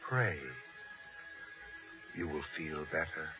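An actor playing a gentle, devout man who comforts others, soothing and solemn, in an old-time radio drama with music behind. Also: extremely low pitch, lively intonation, takes it slowly, exaggerated voice. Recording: dull old radio recording under music.

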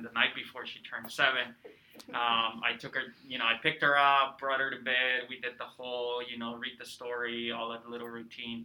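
Bright-voiced Peruvian-born man in his thirties. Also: mid-range voice, a little hiss or hum, low-quality recording. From Peru. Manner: warm storytelling with many fillers. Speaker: guest, cultural center director. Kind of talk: casual interview chat.

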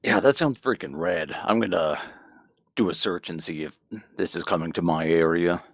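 A man's voice: deep voice, normal speed. A man co-hosting a podcast in casual, slangy chat. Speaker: podcast co-host.

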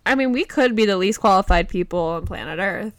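A young woman co-hosting a podcast in casual chat, her voice croaky, giggly and self-mocking. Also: mid-range voice, animated, brisk. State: croaky from a cold-like voice. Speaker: podcast co-host.

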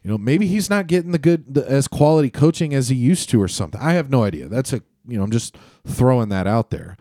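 A man's voice: medium pitch, lively intonation, fast, warm tone, dry recording. Man co-hosting a sports podcast, blunt and profane, dry close sound. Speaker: podcast co-host. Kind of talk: casual sports chat.

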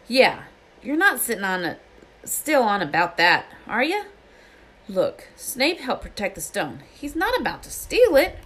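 A woman acting out a big storybook gamekeeper, a proud and friendly man with rustic, blustering talk. The pitch is pushed up from the reader's own and swings about in lively fashion. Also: unhurried, slightly rough, exaggerated voice. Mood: chatty, reassuring.

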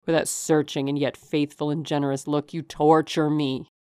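A woman voicing a passionate, possessive gentleman lover in an audiobook, speaking in an urgent, imperious, declamatory way. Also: deep voice, level tone, moderate pace, clear, resonant tone, no echo, clean studio sound, natural voice. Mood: tormented, urgent. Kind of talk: dramatic audiobook character reading.

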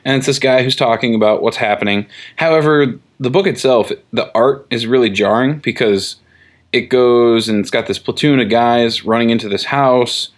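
A young man co-hosting a comic book podcast, rambling in casual chat with many fillers and quick laughs. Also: mid-range voice. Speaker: podcast co-host.

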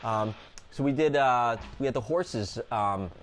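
A man's voice: medium pitch, a little slow, a little hiss or hum. A man hosting a radio interview show, an enthusiastic and chatty veterinarian who has a cold.

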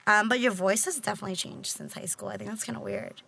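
A young woman in her twenties with a raspy voice, hosting a casual chat and teasing her guest bluntly. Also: medium pitch, steady tone, a bit bright. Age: 20s. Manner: teasing, blunt, quick to joke. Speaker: podcast host.

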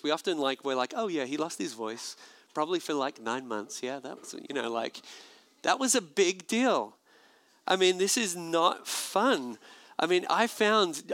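Male pastor, gravelly mid-pitched voice, casual sermon delivery, dry close sound. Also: dry recording. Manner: conversational, animated preaching with asides.

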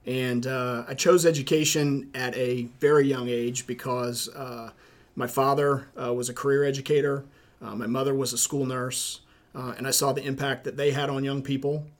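Man, middle-aged, Southern US accent, school principal, measured, lots of ums. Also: medium pitch, level tone. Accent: Southern US (Charleston). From South Carolina, USA. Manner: measured, many ums and uhs. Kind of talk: podcast interview.

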